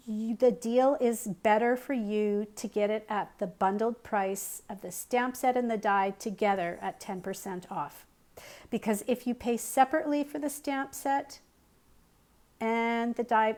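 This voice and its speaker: A woman's voice: medium pitch, a little mellow. A middle-aged woman hosting a casual live crafting tutorial, chatty and friendly, with frequent ums, her voice a little strained. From Canada. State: slightly losing her voice.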